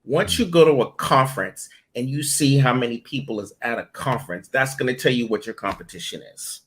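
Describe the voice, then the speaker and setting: A man's voice: mid-range voice, fairly quick, a bit bright. A man, a voiceover director and coach, giving blunt tough-love advice as an interview guest.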